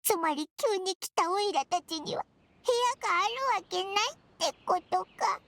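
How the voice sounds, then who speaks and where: A woman voicing a small, excitable, childlike floating companion in an anime game, blunt and squeaky. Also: normal speed, full character voice. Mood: let down.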